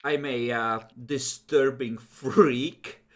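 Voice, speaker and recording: A man's voice: mid-range voice, fairly even intonation, very slow. A man talking casually and wryly as a player building his character for a tabletop role-playing game, swearing now and then.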